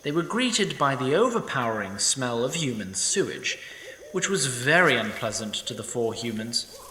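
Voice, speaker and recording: Male narrator, fantasy audio drama, bright tone, animated, echoey room. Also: mid-range voice, moderate pace, far from the mic.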